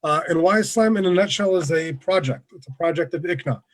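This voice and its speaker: A man presenting an online workshop over a video call, relaxed and conversational, with many fillers. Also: a little high, fairly quick. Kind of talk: casual online lecture.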